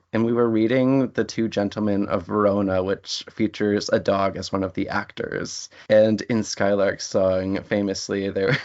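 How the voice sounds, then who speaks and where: A man's voice: lowish voice, darkish tone. A man speaking as a writer guest in a casual, reflective and chatty panel conversation. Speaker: interview guest, writer and playwright. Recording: dull lo-fi audio.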